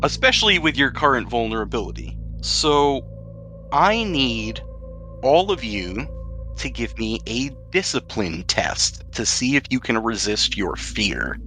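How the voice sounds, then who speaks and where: A man with a bright, forward voice runs a tabletop role-playing game as game master. He explains rules and sets scenes in an explanatory way, at a middling pitch, with faint music underneath. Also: big pitch swings, a little slow.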